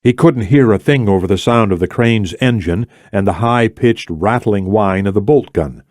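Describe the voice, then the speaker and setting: A man narrates a thriller audiobook from a script. His voice sits fairly low, and the recording is very dry. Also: normal speed, a little mellow, dry recording.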